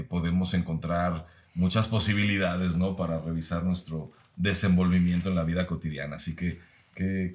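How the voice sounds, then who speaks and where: A man, speaking as a podcast guest in a measured, reflective way with frequent pauses. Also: deep voice, a little mellow. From Mexico. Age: 30s. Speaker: guest, university professor.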